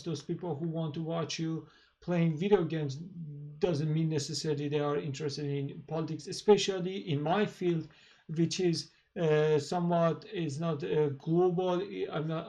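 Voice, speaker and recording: A man with a thick Persian accent, a native Persian speaker, hosting his own channel and talking in a halting casual monologue with many fillers and restarts. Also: a little high, darkish tone. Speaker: channel host.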